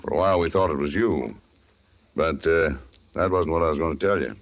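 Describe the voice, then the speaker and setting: An American man acting a grave, tactful police lieutenant with measured, hesitant politeness in a 1940s radio drama. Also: extremely low pitch. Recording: slightly muffled vintage radio recording.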